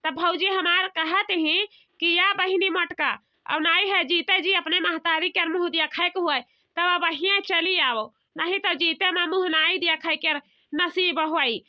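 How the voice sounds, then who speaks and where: A very high-pitched, fretful housewife played by a woman chatters and scolds in an Awadhi accent. She is a bossy cartoon elder sister who repeats herself, and the audio is dull and lo-fi. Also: fairly even intonation, unhurried. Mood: fretful, chatty. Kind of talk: comedy cartoon dialogue.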